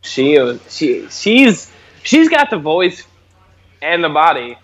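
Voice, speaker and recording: A man co-hosting a podcast, talking in an excitable, stammering way with lots of swearing. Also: fairly high pitch, slow pace, muffled recording, crowd noise. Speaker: podcast co-host. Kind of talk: casual chat.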